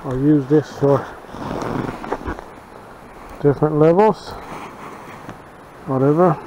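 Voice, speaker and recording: A man talking in a plain, unhurried, folksy way while showing his camp in the snowy woods, recorded on a wearable camera. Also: fairly high pitch, lo-fi sound, noisy background. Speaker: bushcraft vlogger. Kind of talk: casual outdoor narration. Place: snowy forest outdoors.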